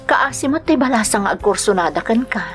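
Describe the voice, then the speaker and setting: Actress playing a gentle, hesitant courted mother. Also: fairly high pitch, expressive, fairly quick, over music, lightly acted. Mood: hesitant, sincere. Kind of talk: Ilocano radio drama acting.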